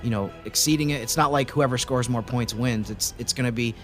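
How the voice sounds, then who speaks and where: A man's voice: mid-range voice, brisk, a bit bright, faint music behind. A man co-hosting a sports podcast in casual conversation, talking as a sports writer.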